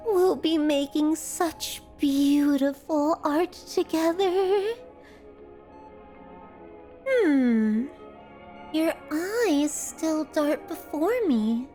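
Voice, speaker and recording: A woman's voice: extremely high pitch, a little mellow, heavily acted. A woman playing an obsessive, doting yet menacing sculptor in an ASMR roleplay, speaking in a florid, grandiose, theatrical way. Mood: rapturous, then proud, coaxing. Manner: florid, pompous, drawn-out sweet menace.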